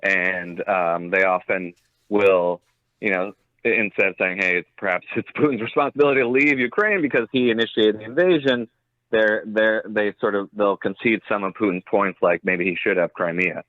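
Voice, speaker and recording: A man's voice: fairly low pitch, normal speed, no echo. A man giving analysis as an interview guest, tentative and often restarting as he thinks aloud. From United States. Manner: tentative, hedging, thinking aloud with repeated starts. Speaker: interview guest, journalist and author. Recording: muffled, thin phone-like line.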